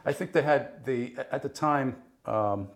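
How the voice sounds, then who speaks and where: A man's voice: medium pitch, a little slow. A middle-aged man, born in Italy with Italian as his first language, talking casually as an interview guest, rambling with many fillers and frequent laughs.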